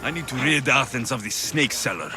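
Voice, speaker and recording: An actor playing a young, steady, dry-witted mercenary hero in a video game. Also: male voice, mid-range voice, brisk, very noisy, close to an everyday voice. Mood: stern. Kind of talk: video game dialogue acting. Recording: music under the voice.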